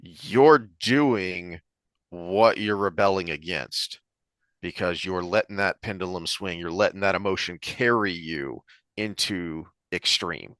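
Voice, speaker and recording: The low-voiced man on a book podcast, thinking aloud into a close, dry mic as he explains and digresses. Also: slow pace, fairly bright tone, no room sound. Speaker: podcast host discussing books. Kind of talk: conversational analytical discussion.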